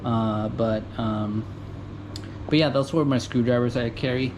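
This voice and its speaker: Casual guy from a mexican family showing off his tools, ums and restarts, camera mic with loud ac hum behind. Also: medium pitch, steady tone, unhurried. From Mexican family, born in the US. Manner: rambling, many fillers, self-correcting, chuckles. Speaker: tool vlogger. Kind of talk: casual show-and-tell. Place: junkyard tool talk recorded in Japan. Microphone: GoPro camera mic. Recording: noisy camera audio, air conditioning hum.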